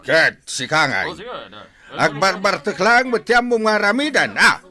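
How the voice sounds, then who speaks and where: A man's voice: sits high, big pitch swings, a little slow, pushed and bright, full character voice. A man acting a stubborn, quarrelsome gambling father with an Ilocano accent in a radio comedy drama. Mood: belligerent.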